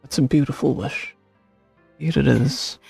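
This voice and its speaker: A man's voice: medium pitch, fairly even intonation, a little slow, creaky, darkish tone, slightly rough, no room sound. A man giving casual, informal reaction commentary as a game streamer, sounding sincere. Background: faint game music.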